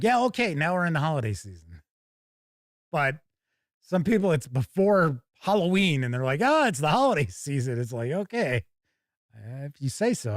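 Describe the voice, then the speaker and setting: American male livestream host, casual, rambling, animated intonation, close dry mic. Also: a little high, dry recording. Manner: rambling, acts out quoted remarks. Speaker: livestream host and game reviewer.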